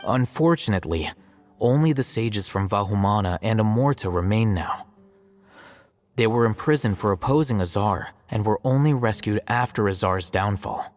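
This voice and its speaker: Man acting aloof, deadpan young scholar. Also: lowish voice, normal speed, natural voice. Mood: detached. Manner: deadpan, matter-of-fact, dismissive. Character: aloof, blunt young male scholar and scribe. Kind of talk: video game voice acting. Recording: faint music behind the voice.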